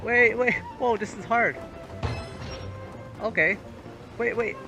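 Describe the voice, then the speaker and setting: Male game streamer, casual and jokey reactive commentary. Slightly high voice, clear game music behind. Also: takes it slowly, lo-fi sound. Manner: joking, reacting aloud. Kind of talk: casual livestream gameplay commentary. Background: game music and sounds.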